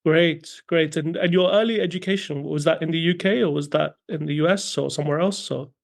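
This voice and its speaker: Guy hosting a podcast interview, fairly high voice, chatty and a bit hesitant. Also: brisk, creaky. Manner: friendly, hesitant filler-laden questions. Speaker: podcast host.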